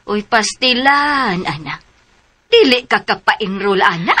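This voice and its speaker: An actress playing a practical, helpful aunt with a questioning, matter-of-fact manner in a radio drama. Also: female voice, medium pitch, expressive, takes it slowly, music in the background. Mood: questioning, dismayed. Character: practical, helpful older female relative of a schoolgirl.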